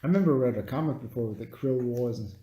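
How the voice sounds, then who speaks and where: A man with a dark, relaxed tone and a mid-range voice talks casually as a podcast co-host. He tends to grope for words as he goes. The sound is noticeably roomy and echoey. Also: mid-range voice, very fast. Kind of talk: casual movie review chat. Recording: echoey room.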